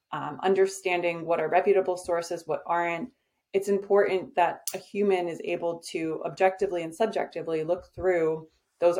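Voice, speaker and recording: A woman's voice: lowish voice, darkish tone. A woman guest on a podcast, talking in a casual, direct and confident way about her business, with frequent "um" fillers. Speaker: podcast guest, agency owner. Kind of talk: casual conversational interview.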